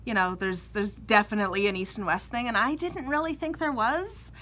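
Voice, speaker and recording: A woman's voice: fairly high pitch, fairly quick, sharp tone. A Canadian woman co-hosting a knitting podcast, chatting casually and laughing often as she tells stories. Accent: Western Canadian. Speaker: podcast co-host. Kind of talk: casual chat.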